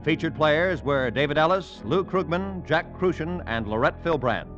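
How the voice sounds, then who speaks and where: Animated male old-time radio announcer. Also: on the high side, normal speed, dull audio. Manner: formal, emphatic, polished. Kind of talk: 1940s radio announcing, scripted. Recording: band-limited old radio recording. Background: music.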